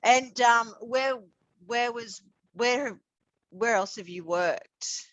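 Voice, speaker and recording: A woman's voice: fairly high pitch, extremely slow, a bit bright, dry recording, dull audio. A woman hosting an interview, chatty and encouraging, affirming her guest often. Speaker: interviewer, host. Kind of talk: casual interview chat.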